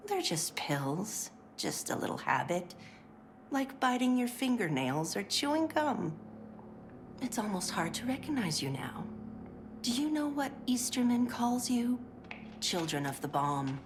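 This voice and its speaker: An actress playing a sugary, overly polite 1950s clinic worker who is strained and pill-addled, often slipping into whispers. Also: female voice, a little high, a little slow. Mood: frayed. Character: chirpy 1950s woman clinic worker, fraying. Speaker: game character voice lines. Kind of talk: scripted game voice acting. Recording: steady background noise.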